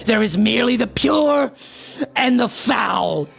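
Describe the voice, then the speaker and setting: A man's voice: very high voice, lively intonation, slow, vocal fry, fairly bright tone, breathy edge, exaggerated voice. A male actor playing a frantic, obsessed occultist in a horror radio play, declaiming in a theatrical, grandiose style. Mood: fevered intensity.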